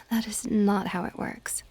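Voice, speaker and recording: Woman playing a slow, soft-spoken dragon goddess. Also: mid-range voice, level tone, no echo, in a whisper. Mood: exasperated. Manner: soft-spoken, breathy asides, formal wording. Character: gentle, stately older female dragon goddess, fondly exasperated. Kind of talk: ASMR audio roleplay.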